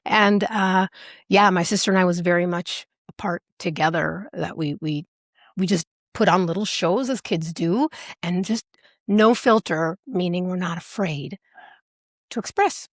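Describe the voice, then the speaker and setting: A woman with an American accent, speaking casually as an interview guest, animated and playful as she tells her stories. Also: fairly low pitch, a little slow, no echo, studio quality. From Alaska, United States. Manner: animated, playful, acts out remembered remarks. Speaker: singer, podcast guest.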